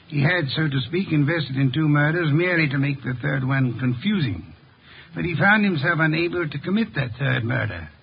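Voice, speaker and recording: A pompous genius detective in an old radio play. His voice is gravelly and husky, pitched a little high, and he delivers every phrase with formal precision. The recording is muffled vintage radio. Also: full character voice. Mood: pompous, lecturing. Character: eccentric, pompous genius detective, a middle-aged man. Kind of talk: 1950s radio detective drama acting.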